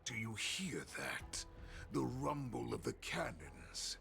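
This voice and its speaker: A savage, menacing wolf warlord, snarls in a gravelly voice over background music. His theatrical game-villain delivery swings wildly in pitch and takes its time over grandiose words. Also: wildly swooping pitch, slow pace, full character voice. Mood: brooding resolve. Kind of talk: dramatic video game villain acting.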